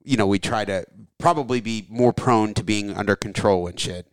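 A man hosting a casual podcast chat. His voice is a little hoarse, and he talks in a loose, rambling way with casual swearing. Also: on the low side, moderate pace, dry recording. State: slightly hoarse, lost some voice. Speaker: podcast host.

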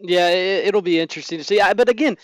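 A hoarse man hosts a radio sports talk show in an upbeat, chatty way. His voice sits a bit high and comes across smooth and resonant despite the hoarseness. The studio sound is close and dry. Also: fast talker, dry recording.

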